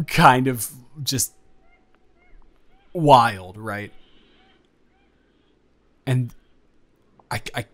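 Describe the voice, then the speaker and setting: Guy acting as a nervous young man sweet on his best friend, rambly and stammering, chatty audio roleplay, medium pitch. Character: nervous, devoted young male friend about to confess love. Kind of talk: improvised intimate audio roleplay, conversational.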